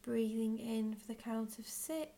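A woman leading a guided visualisation in scripted narration, with measured, gentle phrasing and long pauses. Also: a little high, vocal fry. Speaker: guided meditation leader.

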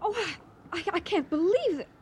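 An actress playing an archaeologist's distraught young daughter in a radio drama. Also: female voice, very high voice, lively intonation, moderate pace, darkish tone, a little hiss or hum. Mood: alarmed, disbelieving.

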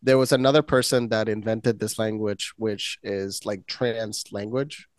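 A man hosting a podcast who talks in an animated, emphatic way and often cuts in. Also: on the low side, a little slow, no room sound, studio quality. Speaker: podcast host. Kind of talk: casual chat.

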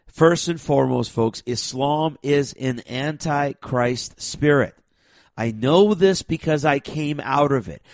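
Raspy man with a cold, gravelly. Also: medium pitch, slow pace, dry recording. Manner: forceful, with heavy stressing and stammered restarts. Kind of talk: emphatic monologue to viewers.

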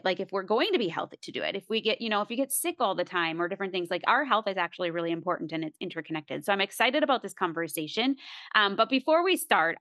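A woman's voice: medium pitch, fairly bright tone, dry recording. A middle-aged woman hosting a podcast, upbeat and motivational, chatting with fillers. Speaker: podcast host. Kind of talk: podcast intro and interview.

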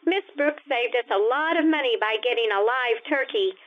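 A woman's voice: on the high side, expressive, moderate pace, natural voice. A woman playing an earnest teenage daughter in old-time radio comedy style, eager and appeasing. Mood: pleading. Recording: tinny, phone-like radio recording.

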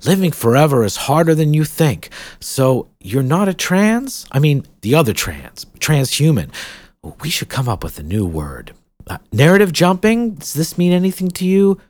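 A man voicing a gushing, welcoming woman who leads a hidden paradise, with a lively, sing-song delivery. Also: low-pitched, normal speed, dry recording, voice pushed up. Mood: flustered. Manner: effusive, gushing.

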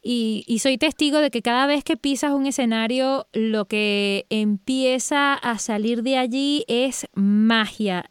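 A woman's voice: on the high side, slow, hardly any pauses, clean tone, no echo, clean studio sound. A woman presenting and interviewing on a radio-style show, reading a polished introduction and then asking questions. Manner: polished, expressive presenter delivery.

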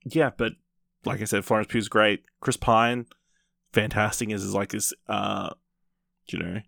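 A man hosting a podcast, casually reviewing films in a rambling, halting way with repeated false starts. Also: fairly low pitch, steady tone, vocal fry, fairly bright tone, no echo, clean studio sound. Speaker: podcast host. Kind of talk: casual film review chat.

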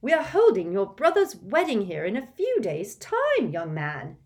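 A woman's voice: mid-range voice, huge pitch swings, a little slow, a little mellow, voice pushed up. A woman doing a bossy, bustling wizard mum. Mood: brisk. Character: bossy, busy wizard mother.

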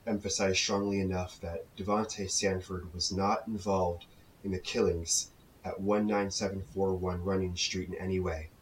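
An actor playing a confessed hitman in a documentary drama, delivering a formal, measured sworn statement with grave emphasis. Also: fairly low pitch, recorded from a distance, slight background noise, close to an everyday voice, rough recording. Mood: emphatic, solemn. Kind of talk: scripted testimony monologue.

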